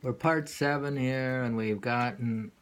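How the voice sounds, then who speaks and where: A man giving an informal poetry reading with commentary, musing haltingly and repeating phrases as he explains. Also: mid-range voice.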